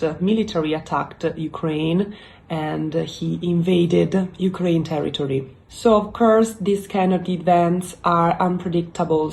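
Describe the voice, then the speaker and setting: Slow, low-voiced Italian woman host. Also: darkish tone, slight background noise, low-quality recording. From Italy. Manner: earnest, halting, with many fillers. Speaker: YouTube channel host. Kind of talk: casual explainer talk to camera.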